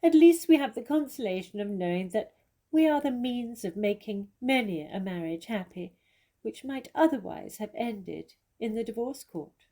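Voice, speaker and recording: A woman's voice: fairly high pitch, lively intonation, moderate pace, mellow. A woman playing a worldly, witty famous actress in a stage comedy, with an arch, condescending and theatrical manner. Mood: amused condescension.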